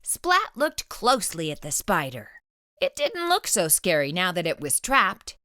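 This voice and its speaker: A woman reading a children's book aloud as a storytime host, with expressive, dramatic storytelling. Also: on the low side, a little slow, smooth, no echo, studio quality.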